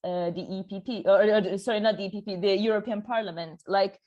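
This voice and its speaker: A young woman speaks with a Hungarian accent at a middling pitch. She sets out questions at an academic seminar, courteous and a little halting as she orders her points. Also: steady tone, dark tone, lo-fi sound. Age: young adult. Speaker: audience questioner, PhD student.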